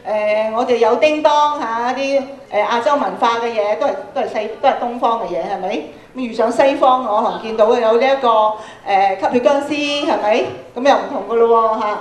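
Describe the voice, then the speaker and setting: In a very reverberant hall, a woman with a Hong Kong Cantonese accent addresses schoolchildren over a microphone. Her voice sits a little high. She lectures interactively, often tagging her points with questions to the listeners. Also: brisk. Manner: questioning, prompts listeners with frequent tag questions. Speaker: workshop speaker, radio programme producer. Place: large school auditorium, indoors. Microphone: handheld microphone, filmed from audience. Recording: echoey hall sound from PA.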